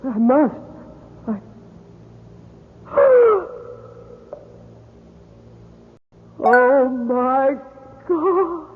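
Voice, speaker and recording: High-voiced, fervent gentlewoman, old radio. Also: big pitch swings, very slow, full character voice. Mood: horrified. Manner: fervent, melodramatic. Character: delicate but brave, devoted young gentlewoman. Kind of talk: old-time radio drama acting. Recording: narrow-band old radio recording with music.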